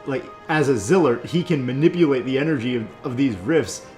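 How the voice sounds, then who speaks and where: A man's voice: mid-range voice, fairly quick, a little mellow, faint music behind. A man co-hosting a gaming video, casually explaining and discussing cutscenes in informal language. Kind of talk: casual chat commentary on game cutscenes.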